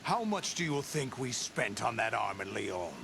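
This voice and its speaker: A man's voice: mid-range voice, sing-song, moderate pace, vocal fry, noisy background. An actor playing a stern male warlord who gives orders with authority, in a video game cutscene. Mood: commanding. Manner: commanding, stern. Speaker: leader of a mercenary group.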